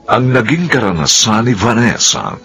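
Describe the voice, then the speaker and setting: A man's voice: medium pitch, big pitch swings, fairly bright tone, faint music behind. A male announcer formally introducing a radio drama with a moral message. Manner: slow, declamatory.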